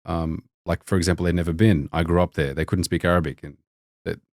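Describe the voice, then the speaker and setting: A young man tells a long, casual story in a low, deep voice. He rambles, restarts and fills gaps with "like" and "um". The sound is close and dry. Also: level tone, a little fast, darkish tone, no room sound. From Australia. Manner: rambling, many restarts and fillers. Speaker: podcast guest, doctor.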